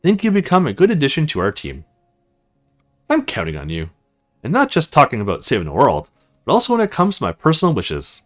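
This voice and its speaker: A man's voice: mid-range voice, wildly swooping pitch, a little fast, faint music behind. Man reading as imperious, self-satisfied mistress. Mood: imperious approval.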